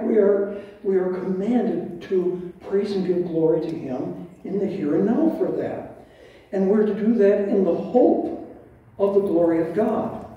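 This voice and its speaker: Older pastor preaching in a roomy hall. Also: male voice, sits high, mellow. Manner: conversational preaching with asides and rhetorical questions. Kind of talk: sermon. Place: large dim church hall with stage. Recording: roomy and dull from far off.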